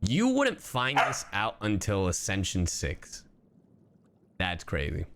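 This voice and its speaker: A man livestreaming casual reaction commentary, slangy, sweary and excitable. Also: on the low side, slow pace, noisy background. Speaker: streamer.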